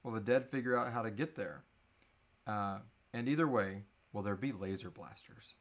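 A man in his thirties with a fairly low voice hosts a relaxed live Q&A. He speaks in a halting way, letting thoughts trail off before picking them up again. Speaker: host answering audience questions. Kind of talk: casual live Q&A chat. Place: small indoor room.

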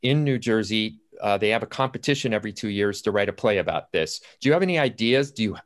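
Older male playwright, lowish voice, casual anecdotal delivery on a video call. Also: animated, quick pace. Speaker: playwright, panelist. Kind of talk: casual storytelling on a panel. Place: indoors at home on a video call.

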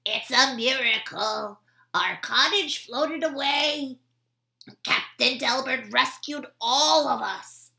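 A woman's voice: high-pitched, slow, vocal fry, sharp tone, echoey room, voice pushed up, full character voice. A woman voicing a flustered, loving mother toad in a storybook read-aloud. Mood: relieved.

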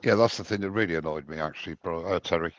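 A man joins a live stream as a guest and banters casually. His voice is on the low side. The call audio is a little dull and lacking in top end. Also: fast, dry recording.

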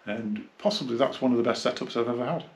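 A man gives a casual, unscripted hi-fi review, rambling and halting as he reminisces. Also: medium pitch, fairly even intonation, slight background noise. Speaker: hi-fi dealer and reviewer. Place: small carpeted indoor room, seated.

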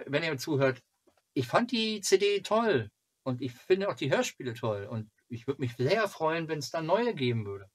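A man in his forties from Germany chatting casually to camera as a fan channel host, in a halting, rambling way. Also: mid-range voice. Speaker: fan club video host. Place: small cluttered home room.

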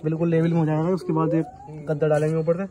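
A man's voice: on the high side, completely flat delivery, fast talker, muffled recording, a crowd in the background. A young man in his twenties, chatting step by step to viewers as he builds a bed in his car, recorded outdoors in a yard on a phone held at arm's length. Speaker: vlogger. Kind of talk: casual how-to vlog. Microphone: phone camera held at arm's length.

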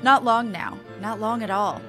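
A woman voicing an earnest small male game character with formal, archaic, measured phrasing. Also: fairly high pitch, over music, close to an everyday voice. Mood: polite, hopeful. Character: earnest, formal small grandson of an airship founder.

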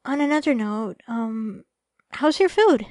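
A high, close and dry young female voice acted by a woman plays a sweet girlfriend in a romantic ASMR roleplay. She is shy and affectionate, speaking softly and hesitantly, easily flustered. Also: animated, unhurried, no room sound. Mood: affectionate, playful. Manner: soft, hesitant, affectionate, stammering when flustered.

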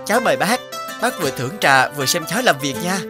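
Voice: medium pitch, sing-song, quick pace, lightly acted. Someone acting a boastful polite young painter guy in a cartoon, music under it. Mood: polite, proud. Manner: polite, self-satisfied. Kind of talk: cartoon dubbing. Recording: music behind the voice.

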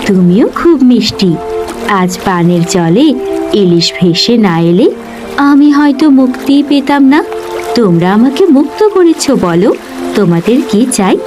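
A woman voicing a kind, grateful young fairy in a children's cartoon, speaking gently in measured phrases. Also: medium pitch, expressive, close to an everyday voice. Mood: gentle, grateful. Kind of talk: children's cartoon story dubbing. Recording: loud music behind the voice. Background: music.

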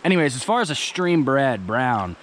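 A young man vlogging as he fishes outdoors by a creek, chatting in a relaxed, eager way into an action camera he wears. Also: medium pitch, normal speed, slight background noise. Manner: relaxed, chatty, enthusiastic about fish. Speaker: fishing vlogger. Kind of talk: casual outdoor commentary. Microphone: action camera on the body.

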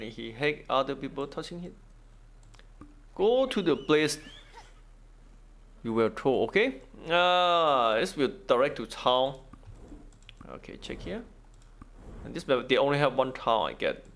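A man giving casual game walkthrough commentary, thinking aloud in halting, non-native English. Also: mid-range voice, expressive, a bit bright. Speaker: gaming video host.

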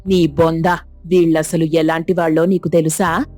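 A woman's voice: sits low, level tone, normal speed, warm tone, soft background music, close to an everyday voice. A woman voicing an exasperated middle-aged mother-in-law who scolds and complains in a dramatized cartoon story. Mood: grumbling.

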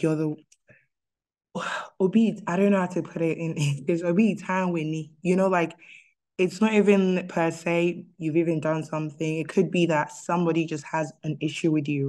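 A woman's voice: low voice, warm tone. A woman speaking casually and personally about faith in an online group, sometimes searching for her words. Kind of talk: personal Bible reflection, casual.

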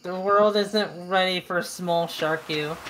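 A woman with a squeaky voice in casual stream chat, excitable and cheerful. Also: slow, noisy background. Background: game music.

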